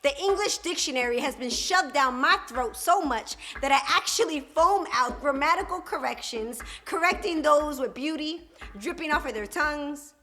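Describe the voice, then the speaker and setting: A young woman performing spoken word poetry at a live open mic, with a rhythmic, emphatic delivery and dramatic pauses. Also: high voice, lively intonation, bright, forceful tone. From Puerto Rican and Dominican heritage.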